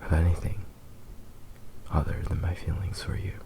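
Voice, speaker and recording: A man playing a possessive, doting fox-boy demon lover in a tender, hushed ASMR roleplay, with lingering pauses. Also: sits low, little pitch movement, gravelly. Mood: adoring, soothing.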